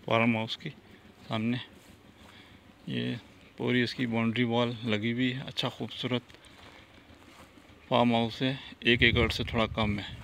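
A man walking through a farmhouse with his phone camera, pointing things out in a relaxed, plain way. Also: mid-range voice, gravelly, a little hiss or hum. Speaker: vlogger giving a property tour. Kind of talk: casual walkthrough narration. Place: outdoors and indoors at a farmhouse. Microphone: phone camera held by the speaker.

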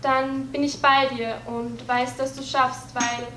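A woman's voice: high voice, flat delivery, takes it slowly, far from the mic, very noisy. A teenage girl performing her spoken word poem on stage, earnest and rhythmic with rhymes, reading from a sheet. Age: teens. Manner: earnest, rhythmic rhyming delivery, reading from paper. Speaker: poetry slam performer. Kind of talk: spoken word poetry recital. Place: dark stage, indoors. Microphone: stand mic at some distance. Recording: echoey hall, voice a bit off-mic.

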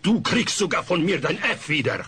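A man's voice: on the high side, sing-song, normal speed, pushed and bright, breathy edge, full character voice. A man playing a pushy, stingy nightclub boss in a German audio drama, on a noisy recording.

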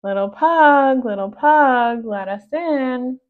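A woman's voice: high voice, expressive, slow, phone-quality sound. A woman voicing an eager, helpful girl, a loyal friend in a storybook, earnest and encouraging. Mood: insistent.

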